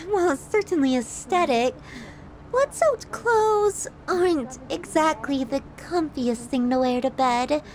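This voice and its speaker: A woman's voice: extremely high pitch, darkish tone, rough recording. A woman playing a possessive, sadistic yandere girlfriend in an ASMR roleplay, doting and cutesy with theatrical affection. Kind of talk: ASMR roleplay, scripted monologue to the listener.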